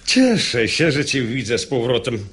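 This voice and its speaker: An actor playing a sensible, wry uncle, an urbane gentleman in a classic radio verse comedy. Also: medium pitch, sing-song, normal speed, breathy edge. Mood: composed.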